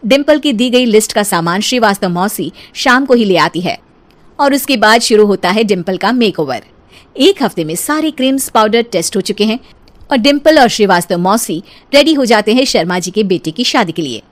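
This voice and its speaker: A woman's voice: medium pitch, expressive, moderate pace. A woman narrating a scripted comic cartoon story as its storyteller.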